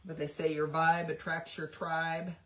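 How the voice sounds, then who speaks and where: A woman's voice: deep voice, little pitch movement, unhurried, fairly bright tone. A woman hosting a craft livestream, chatting casually and rambling with lots of fillers while she paints. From West Virginia, USA.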